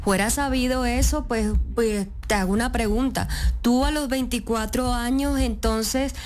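A woman's voice: a little high, fairly even intonation, a little slow, a little mellow, soft background music, close to an everyday voice. A woman voicing a defensive, whiny twenty-four-year-old with a Colombian Caribbean accent in a satirical radio impersonation. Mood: self-justifying. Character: defensive, whiny 24-year-old female political figure parody.

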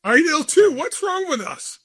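A man's voice: very high voice, sing-song, a little slow, dry recording, clean studio sound. A man in his sixties hosting a casual interview, asking friendly questions that ramble and restart. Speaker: host.

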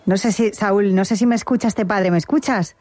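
A woman's voice: mid-range voice, a little fast, a little mellow. A woman hosting a live radio show the studio, friendly and playful with her callers. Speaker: radio host.

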